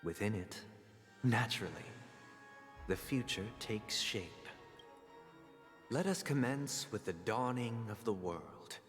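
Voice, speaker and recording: An actor playing a calm, grandiose villain in a video game, speaking formally and ceremoniously like a master of the stage. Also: male voice, lowish voice, takes it slowly, long pauses. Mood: solemn, grandiose. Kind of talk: scripted video game voice acting. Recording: long hall reverb, music underneath.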